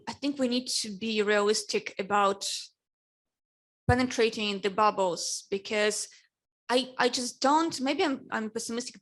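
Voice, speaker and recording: A woman's voice: on the high side, a bit bright. Ukrainian woman, Russian native speaker, panelist; hesitant delivery, frequent restarts. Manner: hesitant, many fillers and restarts. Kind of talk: panel discussion.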